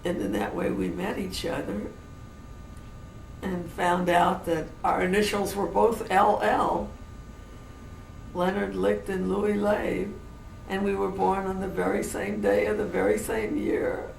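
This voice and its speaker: Old lady from new orleans but no southern accent, slow rambling memories, lots of restarts, noisy echoey room far from mic. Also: medium pitch, level tone, gravelly. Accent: General American. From New Orleans, USA. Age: older. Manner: slow, meandering, with many restarts. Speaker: interviewee, great-grandmother. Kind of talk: reminiscing interview. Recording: noisy, roomy home recording from a distance.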